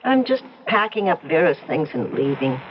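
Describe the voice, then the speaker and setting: A woman's voice: sits low, sing-song, normal speed. An actress playing an anxious but determined young woman in an old radio mystery drama, hesitant and insistent. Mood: agitated, determined. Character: anxious, determined young woman investigating her sister's death. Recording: dull, noisy old radio drama recording.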